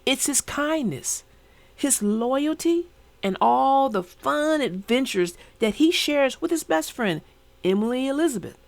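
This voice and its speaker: A woman narrating for children in an expressive read-aloud style, speaking directly to her young listeners. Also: sits high, a little slow, creaky, no echo.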